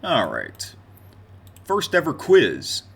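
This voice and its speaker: A man's voice: mid-range voice, wildly swooping pitch, takes it slowly, gravelly, a bit bright, husky. A man in his twenties talking casually and off the cuff to his viewers, in a rambling, conversational year-in-review vlog style. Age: 20s. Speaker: gaming content creator and streamer.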